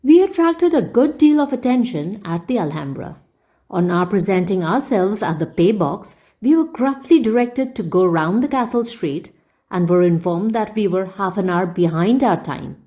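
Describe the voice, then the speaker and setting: A woman storyteller reading a story aloud in a measured, expressive, audiobook-style narration. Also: medium pitch.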